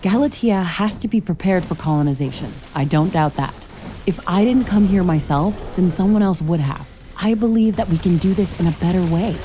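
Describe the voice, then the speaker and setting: An actress playing a thoughtful, principled scientist-commando in a video game, speaking in a calm, articulate, wry way over music. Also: female voice, on the low side, moderate pace, a little hiss or hum, natural voice. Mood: earnest conviction. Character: thoughtful, principled female scientist-commando, wryly teasing, mech pilot. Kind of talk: scripted video game voice acting.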